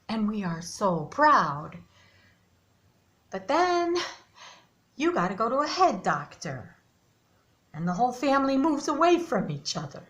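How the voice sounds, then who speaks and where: An earnest old grandmother played by a woman in a stage monologue, with a fairly high voice. She is loving and plain-spoken, chiding gently in a homespun, halting way. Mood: proud, troubled. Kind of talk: theatrical monologue performance.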